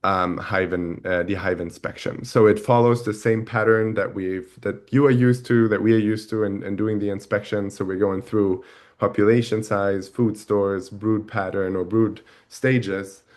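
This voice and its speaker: A man with a thick German accent walks viewers through a product on a video call. His voice sits a little low, and he often stops and restarts mid-sentence. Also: fairly even intonation, a little mellow. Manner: halting, many restarts and fillers. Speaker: webinar host. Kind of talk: product demo webinar talk.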